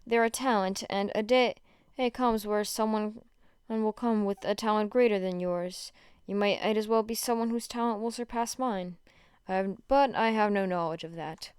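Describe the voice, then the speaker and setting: A woman voicing a stoic, emotionless male hero who talks in a quiet, deadpan way. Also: medium pitch, normal speed, few pauses, clean tone, dry recording, clean studio sound, lightly acted. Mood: calm conviction.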